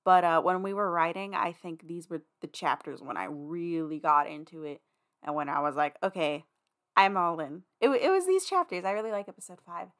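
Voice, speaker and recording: A woman's voice: fairly low pitch, lively intonation, a little fast. A woman podcast host chatting casually to listeners in an informal outro.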